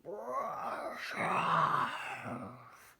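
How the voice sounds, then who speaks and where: A horrible swamp beast, fierce shrieky croak, harsh and sharp, kids story. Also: male voice, high voice, huge pitch swings, drawn-out words, voice pushed up, full character voice. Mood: threatening.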